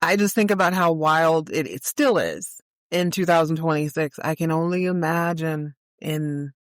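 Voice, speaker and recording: A woman's voice: low-pitched, moderate pace, no echo, studio quality. A woman in her forties hosting a podcast, chatting casually and reacting to her guest. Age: 40s. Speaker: podcast host.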